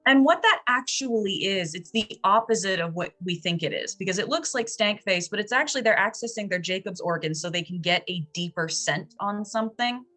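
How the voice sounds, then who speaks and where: A woman improvising narration and chatting casually in a tabletop horror game, building descriptions haltingly, phrase by phrase. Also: mid-range voice, animated, pushed and bright. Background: faint music.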